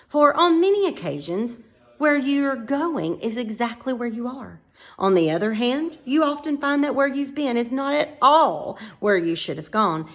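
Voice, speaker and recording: A woman voicing a chatty, confused ordinary man who rambles through evasive answers, pitching her voice high and lively.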